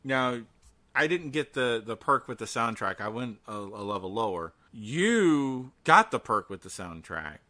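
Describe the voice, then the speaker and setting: Male host, casual radio-style banter, enthusiastic, very expressive pitch, crisp bright edge. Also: measured pace. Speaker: show host.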